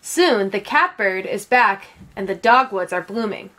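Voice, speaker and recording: A woman reading a children's story aloud in a measured, expressive storytelling manner. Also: medium pitch, a little hiss or hum. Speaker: read-aloud reader.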